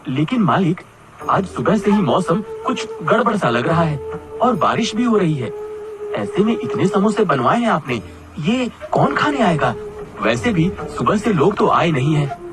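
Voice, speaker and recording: Guy doing a high swoopy cartoon shop helper, doubtful, subservient, music behind. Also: big pitch swings, unhurried. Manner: questioning, subservient, exaggerated. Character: doubtful, obedient male shop servant. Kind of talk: Hindi cartoon story acting.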